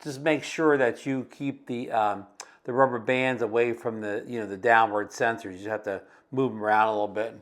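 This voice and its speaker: A man's voice: mid-range voice, fairly even intonation, normal speed, a bit bright. A man giving a casual product demo as a video host, talking in a hesitant, repetitive, rambling way.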